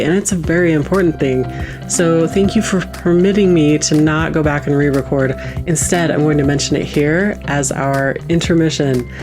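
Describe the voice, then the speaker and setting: A woman with a low-pitched voice presents as a podcast host. She talks candidly and conversationally to listeners, with music clearly playing behind her. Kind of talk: casual podcast announcement.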